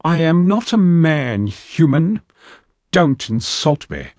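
A man's voice: on the high side, animated, measured pace, dry recording, studio quality, voice pushed up. A man voicing a haughty elder male cat-like alien villain, contemptuous and threatening in an audiobook. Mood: bitter, angry. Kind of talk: audiobook character voice.

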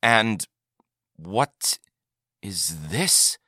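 A man's voice: lowish voice, lively intonation, extremely slow, bright, forceful tone, no room sound, clean studio sound, full character voice. Man playing an eager, gullible alien rancher. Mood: awed curiosity. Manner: nervous, barely contained eagerness.

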